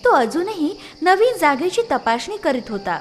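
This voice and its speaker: A woman's voice: very high voice, very animated, moderate pace, over music. A woman narrating scripted moral stories for children as a storyteller.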